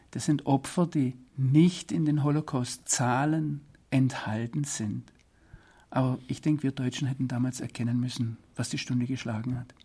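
A man from Swabia, giving earnest, deliberate interview answers. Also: mid-range voice, warm tone. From Swabia, Germany. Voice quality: husky. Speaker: interview guest.

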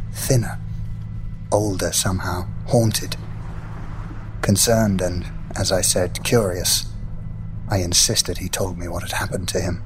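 A man's voice: low-pitched, noisy background. An actor playing a curious, sympathetic man who narrates a gothic tale in an audio drama. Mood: concerned, curious.

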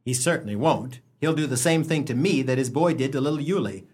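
An actor playing a sardonic, penny-pinching small-town husband in a comic radio play, blustering with a slightly husky voice. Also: male voice, medium pitch, animated, a little fast, a little mellow. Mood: brisk, wry. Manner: blustering, sarcastic, declamatory.

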